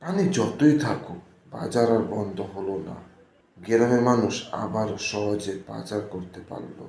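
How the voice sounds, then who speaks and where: A man's voice: fairly low pitch, measured pace, darkish tone, reverberant. A man narrating a scripted cartoon story.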